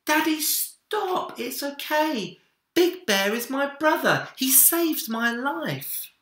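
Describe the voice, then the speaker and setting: A man voicing an eager, brave, affectionate little boy in a storybook, high-pitched and emphatic. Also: very animated, takes it slowly, pushed and bright, full character voice. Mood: urgent, pleading. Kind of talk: children's storybook read-aloud. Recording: roomy echo.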